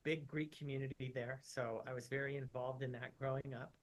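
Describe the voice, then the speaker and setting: A man's voice: medium pitch, a little fast. Laid-back male surgeon in his thirties, from Utah, chatty interview guest who peppers his answers with "like", mid-range voice. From Utah, USA. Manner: relaxed, chatty, uses "like" often.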